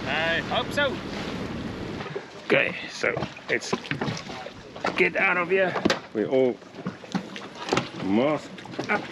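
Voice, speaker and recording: Unhurried South African man, noisy recording. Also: on the high side, very animated. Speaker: vlogger. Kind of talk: casual off-the-cuff vlog commentary. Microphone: handheld camera. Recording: noisy outdoor action-camera audio.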